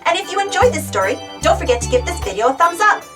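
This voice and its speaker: High-pitched, lively woman storybook reader. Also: fast, recorded from a distance, music in the background. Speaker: storybook reader and channel host. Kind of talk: animated children's read-aloud narration.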